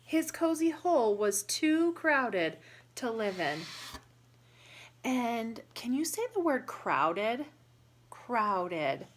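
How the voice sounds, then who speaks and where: A woman reading a picture book aloud to young children, pausing to explain and ask questions in a deliberate, instructive way. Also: a little high, very animated.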